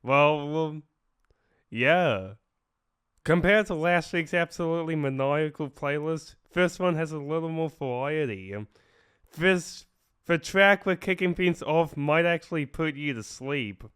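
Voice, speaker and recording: A man's voice: fairly high pitch, a little slow, a bit bright, clear, resonant tone. A man casually hosting a metal music show in an informal, boisterous way, sounding slightly stuffed up from a cough. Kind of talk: casual radio show presenting.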